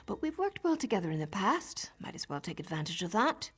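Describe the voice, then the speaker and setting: An actress playing a cool, sardonic female elf assassin in a video game, with a husky voice and a dry, confident, often hushed delivery. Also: medium pitch, big pitch swings, brisk, faint music behind.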